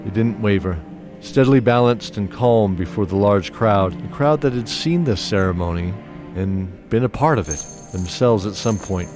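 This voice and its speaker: A man narrating a fantasy audio drama in a lowish voice, delivering steady, descriptive scripted storytelling. Music plays clearly beneath him. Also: normal speed.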